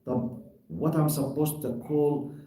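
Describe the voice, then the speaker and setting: A man's voice: fairly high pitch, measured pace, warm tone. A man giving a religious lesson on Quran commentary, arguing through rhetorical questions and everyday examples. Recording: very echoey room, distant mic.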